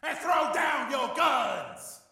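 A sneering hostage-taker played by a man shouts menacing demands with a slight foreign accent. His high, strained voice rings from far off through a long cavernous echo in this storybook thriller. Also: takes it slowly, vocal fry, some chatter behind, heavily acted. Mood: menacing, shouting. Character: sneering male terrorist holding a hostage. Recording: echoey cavernous reverb, far from mic.

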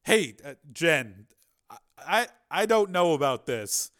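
A man's voice: medium pitch, measured pace, a bit bright, clear, resonant tone, close to an everyday voice. A man voicing a nervous, easily startled man in an audiobook, stammering as he protests. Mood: uneasy. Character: nervous, startled powerful man in over his head.

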